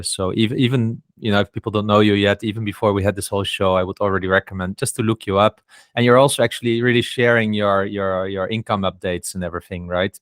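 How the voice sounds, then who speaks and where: A man hosts a podcast in a casual, friendly way. His voice sits on the low side, and he often restarts words mid-phrase. The sound is dry and close. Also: dry recording. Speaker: podcast host. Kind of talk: casual chat.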